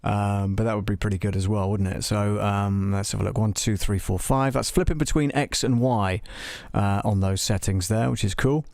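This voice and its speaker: A man hosting a live software walkthrough, talking casually and tentatively, thinking aloud as he tests things. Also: on the low side, moderate pace, creaky, dry recording, studio quality. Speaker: livestream host demonstrating audio software.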